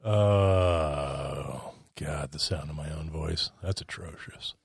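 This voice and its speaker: A man's voice: a bass voice, fairly even intonation, slow, vocal fry, husky. A man hosting a podcast, sarcastic and self-mocking in casual banter. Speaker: podcast co-host.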